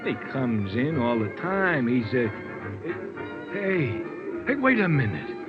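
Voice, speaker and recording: An actor playing a chatty, streetwise American bartender in a 1950s radio drama, mixing breezy slang with pompous big words. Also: male voice, medium pitch, very animated, takes it slowly. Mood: gossipy, knowing. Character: chatty, self-improving hipster male bartender, breezy and streetwise. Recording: dull vintage radio recording with music.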